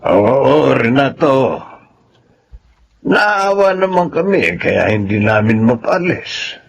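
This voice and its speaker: Soft-hearted filipino older brother, creaky slow talk but lively up and down, radio drama. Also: male voice, medium pitch, lo-fi sound, a little hiss or hum, heavily acted. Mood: sympathetic.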